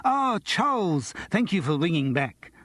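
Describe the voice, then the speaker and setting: A grand, self-important theatrical agent who drawls slowly in a lifted, showy voice, played by a male narrator. Husky and dry, close to the mic. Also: high-pitched, sing-song, fairly bright tone, dry recording, pitched above the performer's own voice, exaggerated voice. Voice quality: grand, drawn-out.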